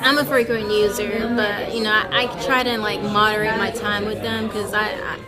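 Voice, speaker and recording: A woman's voice: medium pitch, fairly even intonation, normal speed. Hesitant young woman in a noisy crowd. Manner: hesitant, lots of fillers and restarts, giggly. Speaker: event attendee being interviewed. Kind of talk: casual interview answers. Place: busy indoor café event. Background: loud crowd chatter.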